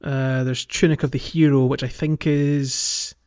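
A man's voice: mid-range voice, level tone, measured pace, darkish tone, clean tone. A man co-hosting a podcast, chatting casually about a game and thinking aloud in a hesitant way. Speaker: podcast co-host. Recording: dull lo-fi audio with music.

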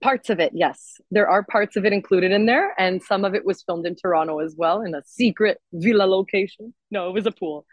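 A woman's voice: mid-range voice, brisk, few pauses, no echo, muffled recording. A woman singer-songwriter chatting casually and enthusiastically as an interview guest. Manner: enthusiastic, expressive.

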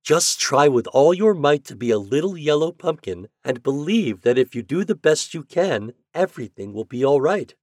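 A man's voice: medium pitch, sing-song, moderate pace, husky, no room sound, clean studio sound. A man voicing merry little sunbeams and breezes in a storybook, kindly and playful with a teasing lilt. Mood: kindly reassuring.

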